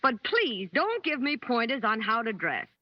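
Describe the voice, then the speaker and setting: High-voiced, indignant radio-drama wife. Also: female voice, big pitch swings, moderate pace, dry recording. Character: proud, indignant wife standing up to her husband. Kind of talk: old-time radio drama acting. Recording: dull, dry vintage radio recording.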